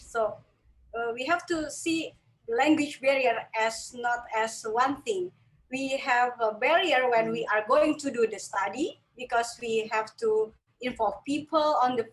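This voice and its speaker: Indonesian-accented woman, higher voice. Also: poor audio. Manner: explanatory, non-native phrasing. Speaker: panelist, researcher. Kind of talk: online panel discussion.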